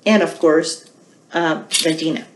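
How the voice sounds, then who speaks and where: A middle-aged woman hosting a storytime for small children, teaching bilingually and repeating phrases in a deliberate, questioning way. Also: sits low, fairly even intonation, roomy sound, low-quality recording. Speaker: children's storytime host at a public library. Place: small quiet indoor room.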